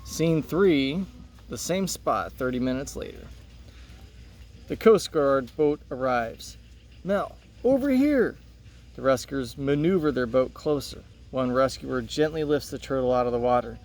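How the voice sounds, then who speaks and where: A man's voice: medium pitch, unhurried, darkish tone. Man narrating a play over background noise. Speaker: teacher reading aloud. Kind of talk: scripted read-aloud narration of a play.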